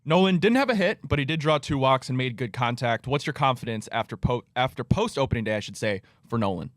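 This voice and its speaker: A man's voice: medium pitch, expressive, quick pace, dry recording, clean studio sound. A man co-hosting a sports talk show, asking questions in an upbeat, conversational way. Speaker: show co-host reading questions.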